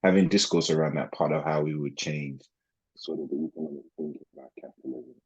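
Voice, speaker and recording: A man's voice: rumbling low, steady tone, quick pace, darkish tone, slightly rough, no room sound. A man student adding thoughtful points to a class discussion, hesitant with fillers. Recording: muffled phone-like line.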